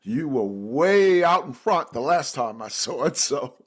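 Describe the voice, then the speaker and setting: Male interview host, expressive and upbeat, chatty with fillers. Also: medium pitch, measured pace, husky. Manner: enthusiastic, scripted intro then hesitant with fillers. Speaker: podcast host. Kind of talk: interview podcast.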